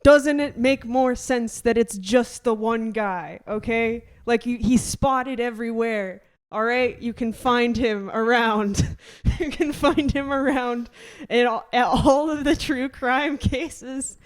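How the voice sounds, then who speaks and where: Joking quick-talking woman, smooth voice. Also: a little high. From United States. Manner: joking, quick, laughs often. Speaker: podcast co-host and comedian. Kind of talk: casual comedic debate.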